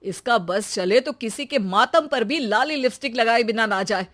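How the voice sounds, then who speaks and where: A woman's voice: fairly high pitch, normal speed, a bit bright. A woman voicing a teasing, fed-up adult man in a family story, with a sarcastic manner.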